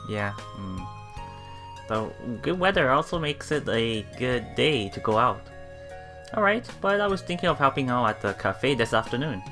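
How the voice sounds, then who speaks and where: A game streamer reads a visual novel aloud with a lively, swinging pitch. They muse casually between passages, thinking out loud. Soft music plays behind the voice. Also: normal speed. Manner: musing, often thinking aloud. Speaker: let's player, narrator.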